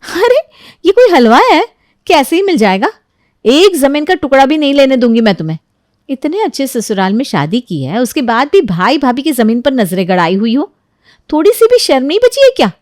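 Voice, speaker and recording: A woman puts on a combative sister-in-law for a story. She scolds scornfully and sarcastically, pushed well up in pitch, with dry close sound. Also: sing-song, moderate pace, pauses often, darkish tone, dry recording. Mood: outraged. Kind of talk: Hindi audio story character acting.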